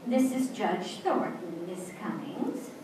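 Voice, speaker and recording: A petulant wife played by an actress speaks slowly in a highish, swooping voice. She is demanding and plaintive, in old-time radio style, heard in a very echoey room. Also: big pitch swings. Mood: mildly imperious. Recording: very echoey, distant, noisy stage recording.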